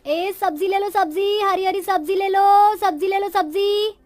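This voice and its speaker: A woman's voice: extremely high pitch, steady tone, unhurried, darkish tone, no echo. A woman voicing poor, resourceful village women in a Hindi cartoon moral story, with a dramatic, complaining manner. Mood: calling out. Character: village women of a poor family, resourceful daughters-in-law and their mother-in-law.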